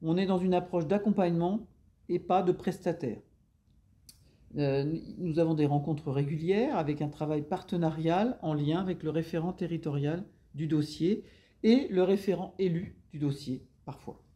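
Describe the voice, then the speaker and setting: A woman's voice: deep voice, normal speed, mellow. A woman explaining her public service work in interview answers, with formal administrative phrasing.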